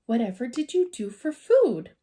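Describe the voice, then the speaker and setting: Woman as middle-aged storybook mum, warm-hearted, brisk, decisive, exclaiming, highish, swooping intonation. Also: big pitch swings. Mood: astonished.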